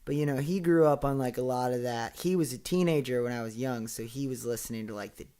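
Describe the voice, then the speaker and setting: A man's voice: mid-range voice, quick pace, warm tone, smooth. A man with an American accent talking casually as a musician guest in an interview. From United States. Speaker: podcast guest, singer-songwriter.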